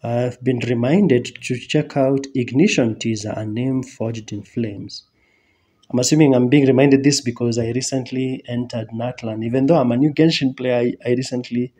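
Brief: Kenyan man, 30s, relaxed chat, mid pitch, desk mic in a small room. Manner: easygoing, gestures a lot, chuckles. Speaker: reaction video host. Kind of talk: casual chat. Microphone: headphones and desk mic.

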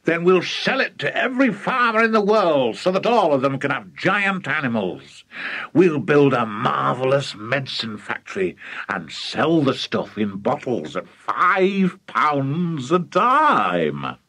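A man voicing an excitable, scheming, overbearing father in a children's story, gushing and emphatic. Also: a little high, unhurried, creaky, few pauses, breathy edge, no room sound, voice pushed up, full character voice. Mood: gleeful, grand.

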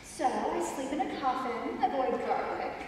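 A deadpan, easily insulted woman who insists she is a practicing vampire, acted by a young woman on a school stage. Her voice is high and sits far back in a long, echoing hall. Faint noise hangs under it. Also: normal speed. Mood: earnest. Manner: deadpan, offended, lecturing. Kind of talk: student stage play. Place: theater stage, audience. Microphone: far camera in the audience.

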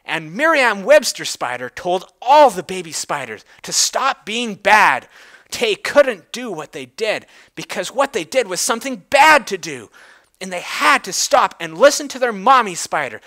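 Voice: medium pitch, sing-song, unhurried, bright, forceful tone. A person giving a theatrical, emphatic dramatic reading of a story, drawing out words for effect.

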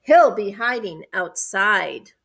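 A woman's voice: medium pitch, animated, slow pace, gravelly, a bit bright, exaggerated voice. A woman voicing an anxious, sorrowful cat from a children's storybook, with emotive, plaintive delivery. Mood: scoffing.

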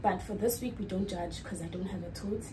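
A woman's voice: medium pitch, brisk, background noise. A young woman, chatting to her phone camera in a small dorm room in a rambling, self-correcting way. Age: young adult. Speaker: student vlogger. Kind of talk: casual vlog chat to camera. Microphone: phone camera at arm's length.

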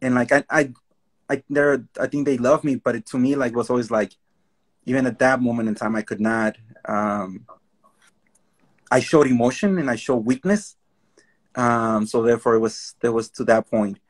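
A man hosting a casual panel discussion over a video call, telling stories in a relaxed, conversational way. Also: medium pitch, moderate pace. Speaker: panel host. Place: room at home, indoors.

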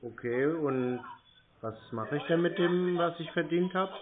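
A man's voice: on the high side, a crowd in the background, close to an everyday voice. A man playing a befuddled old man on a tinny phone. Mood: befuddled, agreeable. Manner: naive, hesitant, slow to understand. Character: gullible, befuddled old man, naive and agreeable. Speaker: prank caller toying with a phone scammer. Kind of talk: phone call, short replies. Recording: tinny, band-limited phone line.

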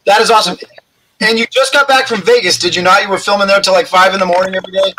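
A man's voice: high-pitched, fairly even intonation, very fast, background noise. A man hosting a casual video call chat, upbeat and enthusiastic. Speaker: host.

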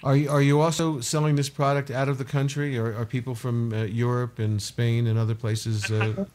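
A man's voice: medium pitch, little pitch movement, normal speed, a little mellow, a little hiss or hum. A man hosting a radio talk show from a home studio, asking questions with many fillers. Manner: filler-heavy, restarts questions. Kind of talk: interview questions.

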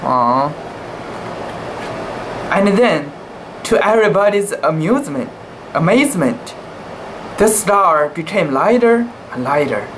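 High-voiced, animated young man reading aloud. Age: young adult. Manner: expressive, cooing, drawn-out words. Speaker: storybook reader. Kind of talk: dramatic children's book reading with asides. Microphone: camera at close range. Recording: steady background hum, outdoor noise.

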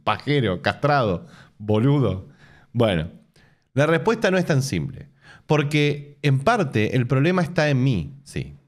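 Argentine dude on stream, reads stuff out then roasts it, laughs a lot, pitch all over. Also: male voice, very animated, measured pace. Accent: Argentine Spanish. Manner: laughs often, sarcastic jokey asides. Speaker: streamer reading a forum post aloud. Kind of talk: casual live-stream reading with mocking asides. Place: home room with bookshelves.

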